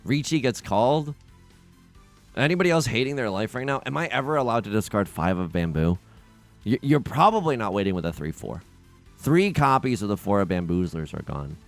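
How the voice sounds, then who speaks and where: A man in early adulthood talks through his game with bouncy, playful energy. His pitch swings all over as he jokes and restarts sentences. Soft game music plays underneath. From China, raised in the US. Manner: excitable, jokey, many quick restarts. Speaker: let's play host. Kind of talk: casual gameplay commentary.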